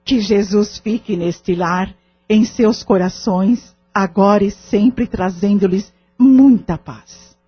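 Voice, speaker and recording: A calm, kindly spiritist leader played by a woman counsels in a measured, formal way. Her voice is husky and sits at a middle pitch. It is audio drama acting on a dull, lo-fi recording. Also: soft background music. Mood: solemn, kindly.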